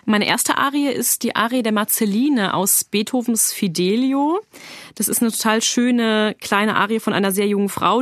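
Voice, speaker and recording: A woman's voice: mid-range voice, no echo, clean studio sound. A young woman, an opera soprano, talking enthusiastically in a casual radio interview. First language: German. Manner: enthusiastic, lively, casual phrasing with fillers. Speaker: soprano singer, interview guest.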